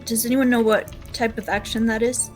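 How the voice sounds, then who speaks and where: A woman with a somewhat high voice plays in a tabletop game, checking rules and laying out her character's actions in a plain, matter-of-fact way. Soft music runs faintly behind her. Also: level tone, a little fast. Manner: plain, asking about rules and stating actions. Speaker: player. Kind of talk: casual tabletop play.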